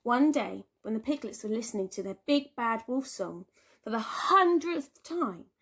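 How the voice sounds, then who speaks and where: A woman teacher reading a children's storybook aloud with expressive, dramatic emphasis and drawn-out words. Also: a little high, husky. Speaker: primary school teacher.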